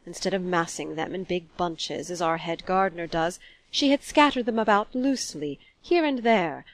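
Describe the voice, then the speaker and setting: A woman reading a storybook as a shy, complacent elderly gentleman, formal, mild and hesitant. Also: lowish voice, normal speed, dry recording, natural voice.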